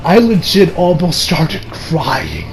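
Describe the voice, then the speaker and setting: A young man with a high-pitched voice talks off the cuff as a fan reviewer. He is excitable and gushing, speaking casually with frequent swearing, over background music. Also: slow. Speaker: movie reviewer.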